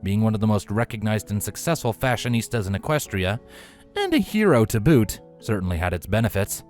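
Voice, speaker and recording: A man reading a fantasy story as narrator in a scripted, dramatic style with deliberate pauses. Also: fairly low pitch, sing-song, a little mellow. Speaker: fan fiction narrator. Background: faint background music.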